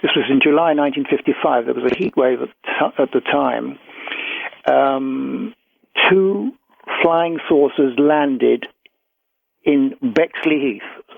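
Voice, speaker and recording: A British man giving a radio interview as a guest researcher, recounting accounts in a halting, careful way. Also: medium pitch, gravelly, no echo. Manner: hesitant, halting, with repeats and careful hedging. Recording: thin, tinny phone line on radio.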